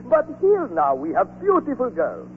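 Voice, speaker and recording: An actor playing an exuberant, affectionate, teasing Italian army surgeon in a 1940s radio drama. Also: male voice, high voice, huge pitch swings, normal speed. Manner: effusive, teasing, pushy. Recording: muffled, noisy 1940s radio broadcast, long reverb.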